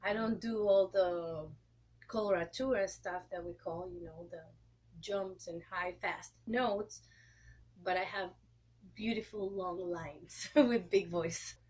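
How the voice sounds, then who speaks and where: A woman in her thirties from Costa Rica, speaking English with a Costa Rican Spanish accent, explaining things in an interview and clearing her throat often. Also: lowish voice, slow pace, darkish tone, rough recording. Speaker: guest, opera singer and educator. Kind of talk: interview answers.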